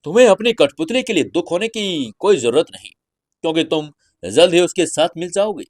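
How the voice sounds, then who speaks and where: A man's voice: fairly high pitch, lively intonation, fairly quick, no echo, studio quality, voice pushed up, close to an everyday voice. Man voicing a cold, taunting Indian fantasy hero. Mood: cold threat. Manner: taunting, menacing. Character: cold, mocking young male martial-arts hero.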